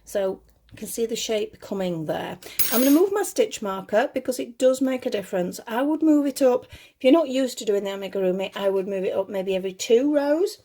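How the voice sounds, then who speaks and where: A woman giving casual step-by-step craft instruction in a slightly gruff voice, chatty and reassuring, often correcting herself as she goes. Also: lively intonation, fairly quick, mellow. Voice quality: slightly gruff, lower than her usual voice. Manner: chatty, self-correcting, reassuring toward beginners. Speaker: crochet tutorial host.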